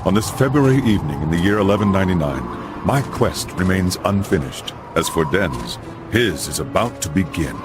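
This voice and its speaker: Brief: a low-voiced knight father narrating a game saga, grave and dramatic, with expressive swings over music. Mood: solemn, brooding. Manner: grave, measured storyteller.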